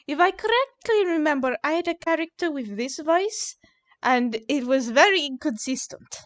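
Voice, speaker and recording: High-voiced, giggly Scottish woman. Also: animated, moderate pace, no echo. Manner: giggly, playful, chatty. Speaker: role-play streamer. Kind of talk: casual chat on a live stream.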